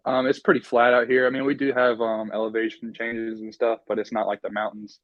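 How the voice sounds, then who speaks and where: A man's voice: medium pitch, level tone, a little fast, no echo, phone-quality sound. A young man from North Carolina, a guest chatting casually and rambling with many ums. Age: young adult. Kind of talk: casual interview chat.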